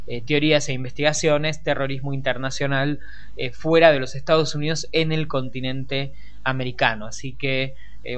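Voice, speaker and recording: An Argentine man with a Rioplatense accent hosting a conversational radio news show, improvising with frequent hesitant pauses. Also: medium pitch, fairly bright tone, smooth. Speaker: radio host.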